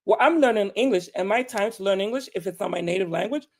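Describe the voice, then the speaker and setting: This is a podcaster from Nigeria speaking in a mid-range voice on a panel discussion. The delivery is animated and emphatic, driving points home with rhetorical questions. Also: mid-range voice, fast talker, a crowd in the background.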